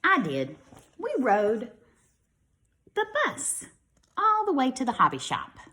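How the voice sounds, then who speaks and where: A woman's voice: high-pitched, wildly swooping pitch, slow, sharp tone. A woman reads a storybook aloud like a teacher to young children, expressively, with casual asides. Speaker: kindergarten teacher reading to pupils.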